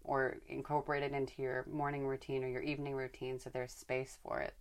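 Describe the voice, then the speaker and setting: A chatty woman hosts a relaxed podcast conversation, asking long, winding questions and laughing easily. Her voice sits very low for a woman. She is from Northern California. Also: brisk. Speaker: podcast host.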